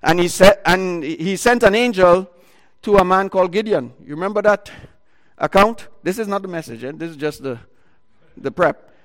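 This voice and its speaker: A man preaching a sermon into a microphone before an audience, with a loud voice and talk broken by fillers and restarts. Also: sits high, moderate pace, big-room sound. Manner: loud voice, talks in bursts with many fillers and restarts. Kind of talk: sermon retelling a Bible story.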